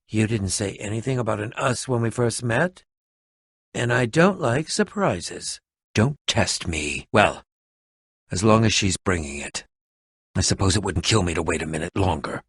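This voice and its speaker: Casting: pompous video game villain, sneering potion-maker, bright, forceful voice, very dry close sound. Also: male voice, mid-range voice, slightly rough, dry recording, heavily acted. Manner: pompous, sneering, melodramatic, over-enunciated. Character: haughty, scheming, theatrical villainous male potion-maker. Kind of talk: video game character acting.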